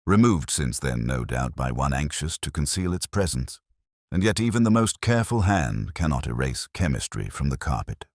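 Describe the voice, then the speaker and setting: A man performs a brilliant detective in an audiobook mystery, with a very deep voice and animated intonation. He is formal, commanding and incisive, setting out each deduction with deliberate precision. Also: dry recording, studio quality, heavily acted. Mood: analytical, assured.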